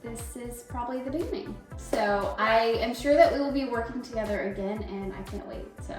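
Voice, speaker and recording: A woman's voice: on the high side, fairly quick. A woman in her thirties chatting casually and effusively, like a vlogger. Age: 30s. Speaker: vlogger, amateur bodybuilding competitor. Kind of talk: casual, gushing chat. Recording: echoey room, distant mic, faint music.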